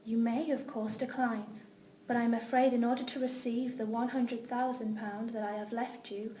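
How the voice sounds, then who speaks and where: A woman's voice: a little high, flat delivery, normal speed, warm tone, natural voice. A woman playing a loving mother solemnly reading her farewell letter to her sons. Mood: solemn, tender. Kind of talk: slow, solemn scripted reading. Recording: echoey, distant room with noise.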